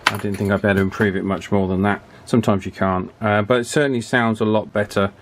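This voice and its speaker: A man giving a casual, chatty hands-on repair commentary in an unhurried way, as if thinking aloud. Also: fairly low pitch, fairly even intonation, background noise. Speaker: radio repair hobbyist presenting his work.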